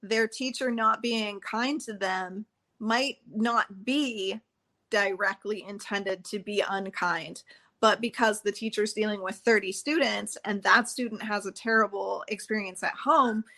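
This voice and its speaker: A woman's voice: mid-range voice, measured pace, pushed and bright. A woman hosting a podcast, a trauma practitioner explaining her views in an earnest, explanatory way. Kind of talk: conversational podcast interview.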